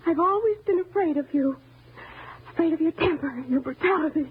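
An actress playing a timid, resentful wife turned murderous, in old-time radio thriller style, menacing and bitter. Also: female voice, fairly high pitch, lively intonation, normal speed, husky. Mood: tearful hatred. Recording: noisy, narrow-band old radio recording.

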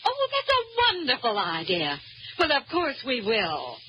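An actress playing a poised, exacting newspaper editor with an American accent, brisk and teasing in an old-time comedy drama. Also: female voice, fairly high pitch, sing-song. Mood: polite, eager. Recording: dull, noisy old broadcast.

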